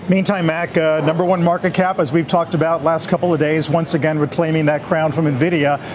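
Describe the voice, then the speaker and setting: A man with a notably high-pitched voice and an American accent anchors live news. Clear ambient noise runs behind his voice. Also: level tone, brisk.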